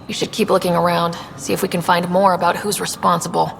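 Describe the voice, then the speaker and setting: A woman's voice: on the low side, flat delivery, fast talker, gravelly, slight background noise. A woman playing a decisive human space explorer and leader in a video game. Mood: focused.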